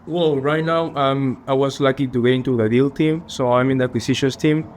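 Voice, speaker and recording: A young man from Peru with a Peruvian Spanish accent, answering interview questions earnestly and a little haltingly. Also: medium pitch, steady tone, slight background noise. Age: young adult. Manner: earnest, polite, slightly halting phrasing. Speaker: finance student guest.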